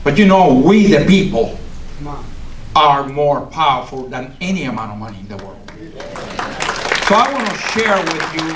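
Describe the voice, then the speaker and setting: A man's voice: a little high, very animated, moderate pace, long pauses, crowd noise, noisy background. Older male guest speaker, Cuban Spanish accent, native Spanish, emphatic speech style, distant and roomy. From Cuba. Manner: emphatic, preacherly, builds with repetition. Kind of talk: political speech. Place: meeting hall of a congregation. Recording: echoey hall from far off.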